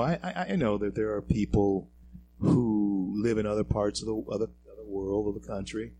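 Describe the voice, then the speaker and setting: A talk show host from Louisiana, giving a halting monologue full of fillers and repeated words that swells into emphatic peaks. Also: male voice, lowish voice, a little mellow, slight background noise. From Louisiana, American South.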